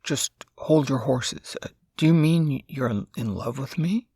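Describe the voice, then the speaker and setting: A woman doing a flustered, gravelly male professor. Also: extremely low pitch, lively intonation, dark tone, no echo, studio quality, full character voice. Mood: alarmed. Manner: halting, hesitant, awkward. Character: flustered, stammering male college professor, easily embarrassed.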